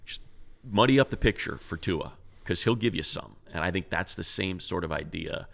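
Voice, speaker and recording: A man's voice: low voice, expressive, moderate pace, vocal fry, fairly bright tone. A man co-hosting a casual sports talk show, talking loosely with many restarts and fillers. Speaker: co-host, radio play-by-play announcer.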